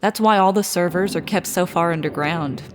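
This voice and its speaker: Low-pitched actress as a composed woman guide, reverberant. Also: moderate pace, very noisy, close to an everyday voice. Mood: composed, informative. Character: composed female research facility guide. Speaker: voice actor. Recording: long reverb under music.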